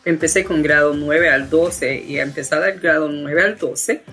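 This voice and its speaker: A woman with a low voice and an American accent talks as an interview guest, pausing often on fillers and restarting her phrases. Soft music plays faintly behind her. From United States. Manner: hesitant, many fillers and restarts. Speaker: guest, education founder. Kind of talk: interview conversation.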